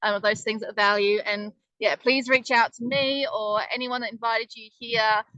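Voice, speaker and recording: Bright-voiced, chatty woman. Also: a little high, steady tone, normal speed, dry recording, highs cut off. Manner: chatty, upbeat, lots of ums. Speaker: online business presenter. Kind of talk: casual webinar presentation.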